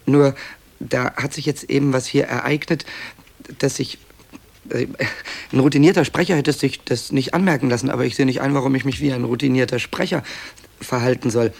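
Reflective man with a gravelly edge. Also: mid-range voice, fairly even intonation, fairly quick. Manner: digressive, self-interrupting, reflective. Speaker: author-narrator presenting the radio play. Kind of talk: essayistic radio narration, addressing listeners.

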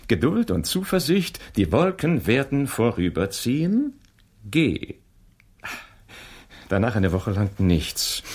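An actor playing an eccentric detective who reasons aloud in a German radio drama, with a husky, gravelly voice and a musing, declamatory manner. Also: male voice, medium pitch, a little mellow, full character voice. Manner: theatrical, musing, declaims read-out notices slowly.

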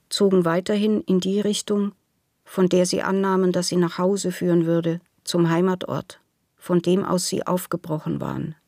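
A woman's voice: lowish voice, a little mellow, no echo. A woman narrates a literary text with very little melodic movement. Her telling is sober and factual, recorded dry and close.

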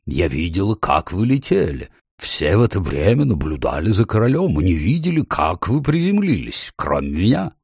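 Guy doing a fussy lady owl from a storybook, earnest, hoots a lot, lively swoopy intonation. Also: deep voice, moderate pace, creaky, few pauses, slightly rough, no room sound, clean studio sound, heavily acted. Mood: curious. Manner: hooting interjections, earnest and fussy. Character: fussy hooting talking female owl courtier.

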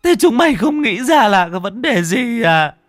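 A stern old mother-in-law in a village audio story played by a woman. Her voice is high and creaky, bluntly giving cryptic, weary orders. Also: sing-song, slow, no echo, clean studio sound, exaggerated voice. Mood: tearful reproach.

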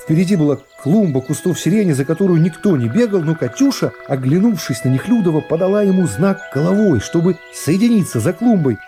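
Mellow male radio narrator over guitar music. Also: sits high. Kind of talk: literary narration in a radio play. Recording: music behind the voice. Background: plucked guitar music.

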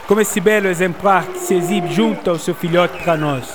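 A man playing an affable, learned gentleman writer in a radio drama, explaining things in a genial, formal way. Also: high voice, normal speed, background noise, natural voice. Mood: didactic.

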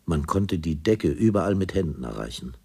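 An actor serving as the grave narrator of a radio play, reading literary prose in a measured manner. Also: male voice, deep voice, expressive, warm tone, breathy edge, heavily acted. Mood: grave, descriptive.

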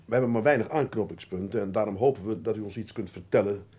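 Grave, low-voiced police detective. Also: male voice, a little mellow, breathy edge. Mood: grave, firm. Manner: measured, polite, hesitant before bad news. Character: grave, blunt but decent male police detective. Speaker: actor in a crime radio drama. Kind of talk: Dutch radio play acting.

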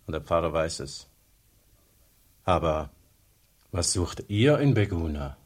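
A man's voice: deep voice, lively intonation, takes it slowly, darkish tone, breathy edge, heavily acted. A man playing a plain-spoken, resentful village worker in a radio play, with a blunt, grumbling manner. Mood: grumbling, curious.

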